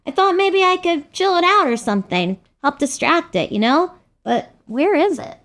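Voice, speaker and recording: A woman's voice: very high-pitched, sing-song, moderate pace, fairly bright tone, heavily acted. A woman playing a playful, silly auntie sidekick in a children's show sketch, with exaggerated delivery.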